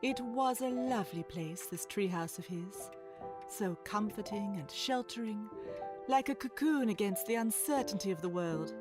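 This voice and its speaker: Casting: female storybook narrator, medium pitch, measured literary read, light music bed. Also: animated.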